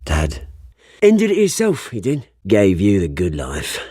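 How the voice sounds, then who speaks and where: An actor playing a cocky, bitter childhood friend in a video game, with a British accent, slangy and theatrical. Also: male voice, on the low side, wildly swooping pitch, unhurried, warm tone, husky, no echo, studio quality, full character voice. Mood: bitter, wounded. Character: cocky, bitter young crime-bound childhood friend, rough charm.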